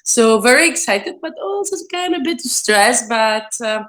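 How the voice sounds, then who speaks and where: A woman's voice: fairly high pitch, lively intonation, moderate pace, a bit bright. A woman from Greece, a yarn dyer, chatting as a guest in a casual video-call interview from her studio, with many fillers and restarts. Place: home studio.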